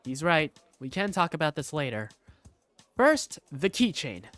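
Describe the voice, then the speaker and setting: A narrator reading first-person scripted visual novel story text in an expressive storytelling manner. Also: mid-range voice, unhurried, soft background music. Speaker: narrator and lead character.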